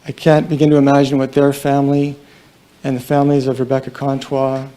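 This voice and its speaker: A police deputy chief speaking at a press conference in a large room, a man with a quiet voice and a halting, somber delivery full of fillers. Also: medium pitch, completely flat delivery.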